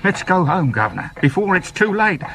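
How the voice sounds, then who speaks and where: High-voiced, anxious British manservant. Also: male voice, sing-song, brisk, a bit bright, full character voice. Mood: fretful. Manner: nervous, deferential, protesting. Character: anxious, loyal, grumbling male dresser and servant. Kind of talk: 1970s radio drama acting. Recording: noisy old radio broadcast recording.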